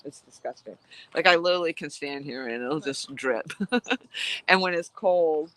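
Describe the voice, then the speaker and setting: A woman's voice: low-pitched, normal speed, fairly bright tone. A woman with a nasal voice, chatting casually and rambling as she vlogs outdoors while fishing. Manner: chatty, rambling, laughs easily. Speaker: vlogger. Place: outdoors at a lakeside camp.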